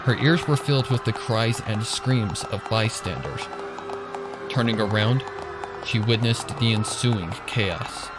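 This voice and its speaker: A man's voice: lowish voice, unhurried. A man reading scripted fan fiction as a dramatic narrator. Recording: loud music and effects behind. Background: loud music.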